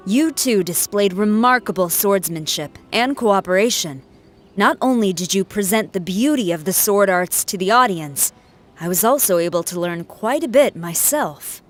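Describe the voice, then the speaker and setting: A woman playing a stern, dutiful military officer in a video game, speaking in a formal, measured way. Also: medium pitch, lively intonation, faint music behind, close to an everyday voice. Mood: formal, respectful.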